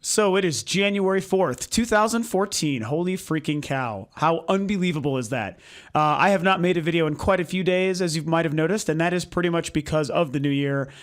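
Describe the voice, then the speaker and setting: A young man with a slightly high-pitched voice gone hoarse, as if he is losing it. He chats in a loose, rambling way, full of ums and uhs, while hosting his own video game vlog from home. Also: a little fast. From United States. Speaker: video game vlogger, channel host. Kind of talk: casual opinionated vlog talk. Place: home recording setup.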